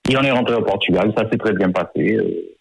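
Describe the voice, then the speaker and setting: A man's voice: lowish voice, fast, vocal fry, husky, no echo, poor audio. A man in his fifties, an author born in France, talking in a radio interview and hesitating often, with many fillers and repeated words. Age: 50s.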